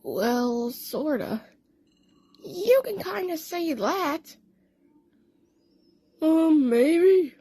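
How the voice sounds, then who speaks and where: A performer voicing a female cartoon cat scientist telling a story in a halting, rambling way, in a fan audio play. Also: high-pitched, big pitch swings, mellow, no echo, full character voice. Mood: hesitant, awkward.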